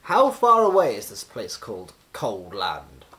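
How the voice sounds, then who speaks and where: A man voicing a wise old storybook wizard, brisk, commanding and exclamatory, speaking in grandly wordy phrases. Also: lowish voice, huge pitch swings, sharp tone, exaggerated voice.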